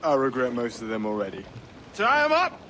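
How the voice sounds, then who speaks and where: Sharp, animated, shifty pirate. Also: male voice, mid-range voice, brisk, slight background noise. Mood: defiant. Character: shifty, excitable male pirate turncoat. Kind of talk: dramatic video game cutscene acting.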